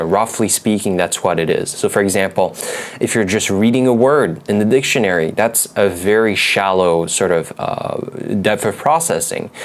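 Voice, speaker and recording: A young man, not a native English speaker, explaining language learning methods in loose conversational talk with many fillers and restarts. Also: lowish voice. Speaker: language learning video host. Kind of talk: unscripted explanatory talk to camera.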